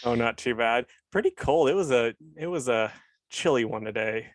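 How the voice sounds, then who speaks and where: Man, podcast co-host, casual rambling chat, mid pitch, dry close mic. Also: expressive, normal speed, dry recording. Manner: laid-back, rambling with many "like"s.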